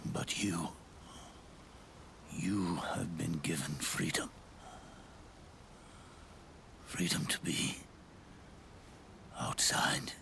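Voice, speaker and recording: An actor playing a weary, dying old soldier in a video game cutscene, solemn and halting, with a weak, breathy rasp. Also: male voice, low-pitched, sharp tone, in a whisper, heavily acted. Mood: tender, dying farewell. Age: older. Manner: slow, halting, solemn, with long pauses. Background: orchestral music.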